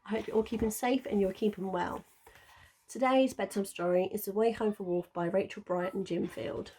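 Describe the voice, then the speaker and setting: A teacher reading a rhyming bedtime storybook aloud in a gentle, rhythmic way. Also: female voice, mid-range voice, fairly even intonation, normal speed, a little hiss or hum, low-quality recording. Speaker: primary school teacher.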